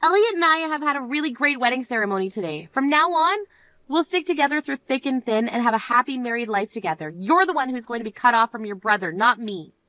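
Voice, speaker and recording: A woman voicing a composed young bride in an animated story, speaking in a firm, cold, measured manner. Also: on the high side, dry recording.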